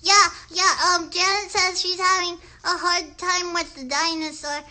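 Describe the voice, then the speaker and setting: An eager young girl puppet for a children's livestream. The voice is extremely high and piercingly bright, with slow, halting, childlike phrasing. It is chatty and friendly with young viewers. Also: fairly even intonation, full character voice. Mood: cheerful, friendly.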